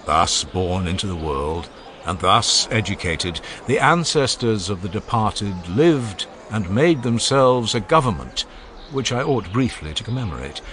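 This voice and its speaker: Male audiobook narrator, lower register, animated oratorical delivery for a solemn classical speech. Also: a little slow, slight background noise. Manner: solemn, declaiming, oratorical phrasing. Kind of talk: dramatized audiobook reading of a classical oration.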